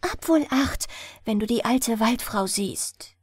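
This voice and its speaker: A woman reads the part of a mysterious water nixie in a fairy tale, posing as a friendly lady. Her voice is high and light, and she speaks slowly and coaxingly, with gentle curiosity. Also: lively intonation, husky, no room sound, clean studio sound, full character voice. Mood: sly, secretive. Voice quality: bright, light voice. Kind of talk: bedtime fairy-tale reading.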